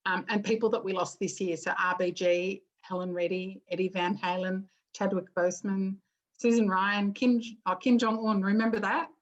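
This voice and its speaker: A woman's voice: medium pitch, level tone, normal speed. A woman hosting an online education session, chatty and full of ums and restarts. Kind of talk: casual spoken introduction. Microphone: video call. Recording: muffled video-call audio.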